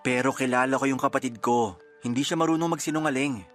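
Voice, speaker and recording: A man's voice: mid-range voice, quick pace, a bit bright, soft background music, close to an everyday voice. A man playing a reproachful, protective boyfriend in a Filipino radio drama, earnest and reproving, with a Filipino accent. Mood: stern, defensive. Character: reproachful boyfriend, protective of his younger sister.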